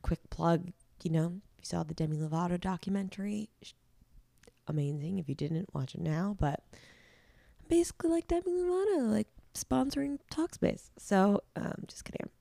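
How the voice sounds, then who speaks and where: A woman hosting a podcast interview, hoarse, chatty and upbeat with frequent filler words. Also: medium pitch, expressive, normal speed, warm tone. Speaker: podcast host.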